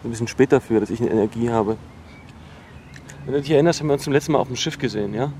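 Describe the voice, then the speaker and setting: A man's voice: mid-range voice, rapid-fire, dark tone, natural voice. A man plays an obsessive, hurt ex-boyfriend in a radio drama. His mid-range voice is insistent and accusatory, stumbling over repeated words in an improvised way. A faint noise sits behind him. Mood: bitter, reproachful. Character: bitter, obsessive, wounded ex-boyfriend.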